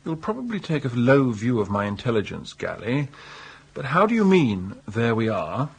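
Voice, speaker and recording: A reluctant young sweetheart in a radio comedy. His husky voice moves expressively, hesitant and unwilling. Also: medium pitch, sing-song, normal speed, full character voice. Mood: puzzled, reluctant. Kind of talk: radio comedy drama acting.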